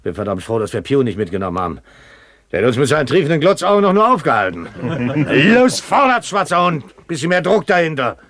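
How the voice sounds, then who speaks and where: An actor playing a sly, boisterous one-legged pirate quartermaster who curses and wheedles, in a radio play. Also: male voice, medium pitch, sing-song, a little fast, a bit bright, no echo. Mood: gruff, driving. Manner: cursing, wheedling sailor talk. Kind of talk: 1970s German radio play acting.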